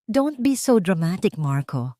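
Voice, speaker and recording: A woman's voice: lowish voice, sing-song, measured pace, warm tone, no room sound, studio quality. A woman voicing a vain, scheming, dismissive mistress with a bossy, haughty manner in an audio drama.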